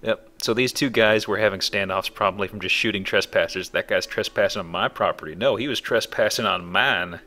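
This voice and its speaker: A man's voice: lowish voice, brisk, few pauses, pushed and bright, faint music behind. A man hosting a let's play, giving casual commentary on a video game.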